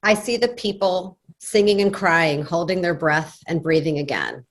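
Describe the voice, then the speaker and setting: A woman reciting a poem in a solemn, measured way. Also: fairly low pitch, lively intonation.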